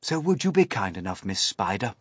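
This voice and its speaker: A man voicing an old male grasshopper in a storybook, deep, slow, dignified and withering. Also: big pitch swings, slightly rough, no echo, clean studio sound. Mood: weary, firm.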